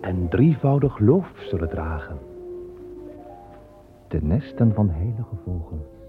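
A man narrating an old radio play in a solemn, measured, incantatory way. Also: sits low, sing-song. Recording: tinny old radio recording with music.

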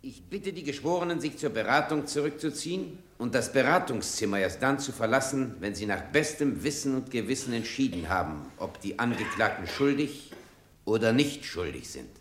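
An actor playing a stern trial judge in a radio crime play, formal and measured, in an echoey hall. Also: male voice, mid-range voice, lively intonation, a bit bright. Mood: formal, grave. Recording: echoey courtroom-like sound.

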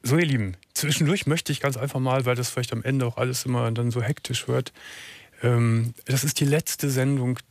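A middle-aged man hosting a late-night call-in radio show, calm and reassuring, often restarting his sentences. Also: medium pitch, moderate pace, gravelly, dry recording. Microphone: studio microphone.

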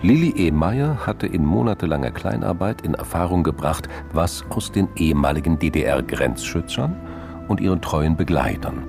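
A male narrator of a radio crime drama with an exceptionally deep voice. It crackles with gravelly fry as he reads literary prose. Music plays underneath. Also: expressive, moderate pace.

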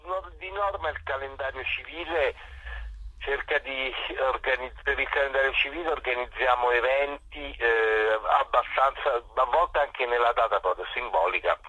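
A man speaking as a guest in a radio phone interview, explaining things in a halting, hesitant way. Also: mid-range voice, sharp tone, slightly rough. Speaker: guest musician presenting a concert. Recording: dull phone line, roomy echo.

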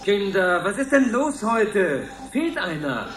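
A man's voice: high-pitched, big pitch swings, slow, reverberant, over loud music, full character voice. A man playing a touchy, exasperated supervisor in a radio drama, with a scolding, indignant manner. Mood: puzzled.

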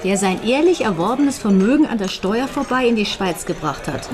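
An actress playing an anxious, questioning widow in a German radio crime drama. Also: female voice, mid-range voice, lively intonation, normal speed, a crowd in the background, natural voice. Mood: wary, reasoning. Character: threatened widow Ruth, anxious, questioning, piecing things together.

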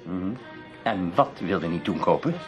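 A man playing a cool amateur investigator in a radio drama, questioning in a terse, measured manner. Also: low-pitched, animated, faint music behind. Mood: probing, casual. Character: cool, probing male amateur investigator named Tim.

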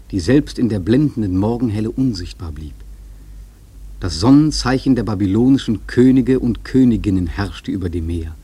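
A man's voice: fairly low pitch, dark tone, husky, no echo, full character voice. An actor playing a reflective seaman who narrates a whaling voyage as literary radio drama. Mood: solemn, descriptive.

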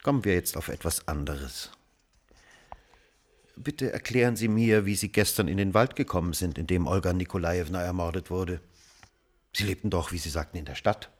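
Deep, husky investigator. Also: male voice, moderate pace. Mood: insistent. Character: probing, controlled male investigator recounting a murder case. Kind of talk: German radio drama, first-person narration and questioning.

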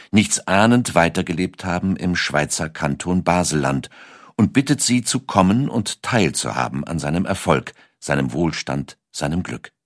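Male narrator, very low register, close dry recording; measured, dramatic delivery for scripted historical storytelling. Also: no echo.

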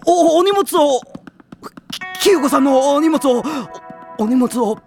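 An actor playing a loud, hot-blooded teenage boy in an anime audio drama, over-dramatic and easily tongue-tied. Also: extremely high pitch, animated, unhurried, vocal fry, soft background music, full character voice. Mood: nervous, flustered. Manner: over-dramatic, stammering when nervous.

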